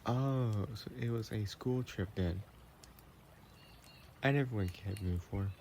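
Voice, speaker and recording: A man playing an affectionate, playful vampire boyfriend in an intimate roleplay audio, chatting in a gentle, teasing way. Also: lowish voice, a little slow, slight background noise, natural voice. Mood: tender, caring.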